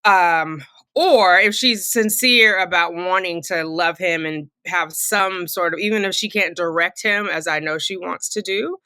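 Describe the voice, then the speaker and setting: A middle-aged woman host talks casually and bluntly, sharing opinions in colloquial language. Her voice sits a bit low yet is bright and forceful. The sound is dry and close, with little room to it. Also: measured pace, clean tone, no echo. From Fresno, California. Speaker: commentary channel host. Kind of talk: casual opinion commentary on a court case.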